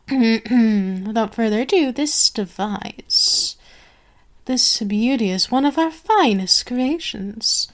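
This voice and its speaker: A woman playing an imperious, sadistic vampire queen in an ASMR roleplay, formal and commanding, seductive and possessive as she savors each phrase. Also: a little high.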